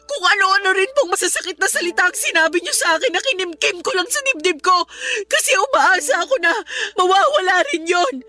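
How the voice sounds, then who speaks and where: A woman's voice: very high-pitched, lively intonation, a little fast, hardly any pauses, bright, forceful tone, faint music behind, heavily acted. A woman playing a wounded, resentful young niece in a Tagalog radio drama, pleading yet standing firm. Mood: tearful, aggrieved.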